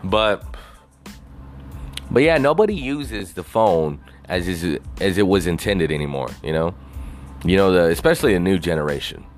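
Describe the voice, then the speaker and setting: A man's voice: low-pitched, expressive, moderate pace, soft background music. A man on a casual, rambling rant, swearing constantly and leaning on "you know" fillers.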